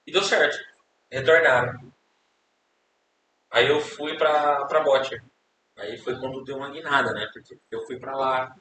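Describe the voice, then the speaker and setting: A man's voice: mid-range voice, fairly even intonation, unhurried, pauses often, bright, forceful tone. A young Brazilian man, a podcast guest, telling his life story in a casual, rambling way, repeating words and tagging questions. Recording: echoey room, distant mic, hiss.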